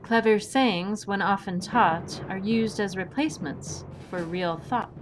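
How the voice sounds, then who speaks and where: A woman's voice: medium pitch, measured pace, faint music behind, close to an everyday voice, rough recording. Casting: woman for an unflappable, courteous adventuress with dry wit, mid-range voice, audio drama delivery. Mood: earnest. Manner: polite, unruffled, wryly philosophical. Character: composed, courteous, witty female masked swashbuckling heroine.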